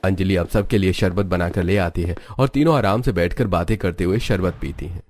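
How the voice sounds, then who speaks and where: Male narrator, lowish pitch, scripted storytelling delivery. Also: a little fast, darkish tone.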